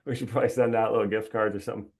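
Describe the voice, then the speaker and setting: A man's voice: fairly low pitch, flat delivery, rapid-fire, a little mellow. A man hosting a webinar in a casual, chatty way, upbeat and rambling, laughing often, heard through a video call mic. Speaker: webinar host.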